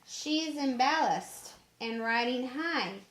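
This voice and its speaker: A woman voicing a calm, matter-of-fact male ship's navigator in a storybook reading, in an echoing room. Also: high voice, slow, slight background noise, exaggerated voice. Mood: weary.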